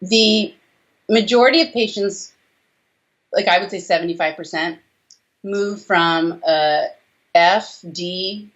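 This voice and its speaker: American woman, forties, lower-pitched voice, emphatic doctor explaining, conversational, slightly muffled video-call sound. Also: slow pace, pauses often. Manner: emphatic, animated explaining with rhetorical tag questions. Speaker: doctor, interview guest, author. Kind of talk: conversational interview answers on health. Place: small room indoors, on a video call. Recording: dull video-call audio.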